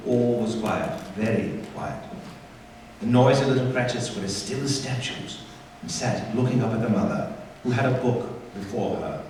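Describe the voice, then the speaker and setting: A man acts as a measured storyteller narrating a live radio drama. He is grave and literary, with a mid-range voice. The hall rings heavily around him over faint noise. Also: medium pitch, a little mellow, distant mic, close to an everyday voice. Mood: solemn, hushed.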